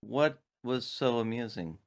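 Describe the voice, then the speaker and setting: A man's voice: medium pitch, animated, unhurried, vocal fry, a little hiss or hum. A man chatting casually as a player in a tabletop roleplaying game. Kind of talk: casual chat.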